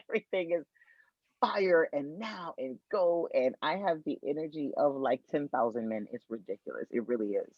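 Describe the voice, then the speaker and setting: A man from Miami, Florida, an astrologer guest on a podcast, explaining birth charts in an energetic, teacherly way and repeating his key points. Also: mid-range voice, dry recording. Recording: tinny dry phone-like line.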